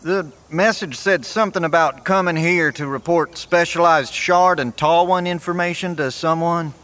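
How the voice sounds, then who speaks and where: A man's voice: on the high side, normal speed, a bit bright, close to an everyday voice. A man playing an earnest, folksy survivor who leads a small group, plain and polite in an audio drama. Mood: steady, cooperative.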